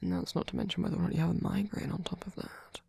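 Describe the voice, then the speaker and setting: A man playing a sweet, gentle vampire boyfriend in an ASMR comfort roleplay, speaking haltingly in a breathy, husky whisper. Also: sits high, big pitch swings, no echo, exaggerated voice. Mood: gently musing.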